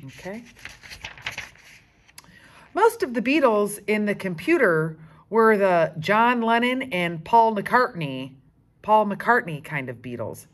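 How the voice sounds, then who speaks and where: Female storyteller, teacher type; highly animated intonation, unhurried read-aloud delivery. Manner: expressive, deliberate reading. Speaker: teacher reading aloud to children. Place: school classroom, indoors.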